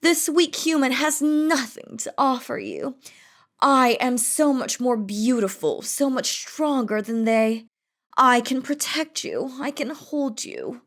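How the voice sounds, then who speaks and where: Casting: female performer as feared demon queen, higher-pitched, unhurried, possessive and lovestruck, imperious delivery for romantic audio drama. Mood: commanding, indignant. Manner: imperious, dramatic, threatening yet doting. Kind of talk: scripted romantic audio roleplay.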